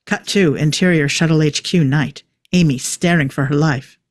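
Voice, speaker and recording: Casting: script narrator for stage directions, medium pitch, close dry recording. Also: moderate pace, no room sound.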